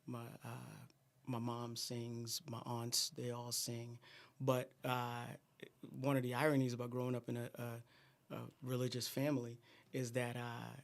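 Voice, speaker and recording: A middle-aged man from Guyana, a singer, chatting in an interview in a soft, halting way with many fillers. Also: medium pitch, completely flat delivery. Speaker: guest singer. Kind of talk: casual interview chat.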